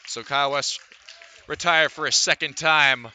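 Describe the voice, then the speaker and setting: A man calling live baseball play-by-play for radio from a ballpark, in measured phrases with pauses between plays. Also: a little high, fairly even intonation, pushed and bright, a faint crowd, a little hiss or hum. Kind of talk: live radio sports commentary.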